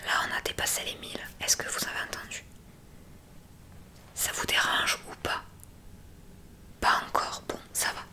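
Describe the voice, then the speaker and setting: A woman whispers breathily as an ASMR examiner, gently and attentively explaining a hearing test step by step to the listener. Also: moderate pace, pauses often. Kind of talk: whispered ASMR medical roleplay, addressing the listener.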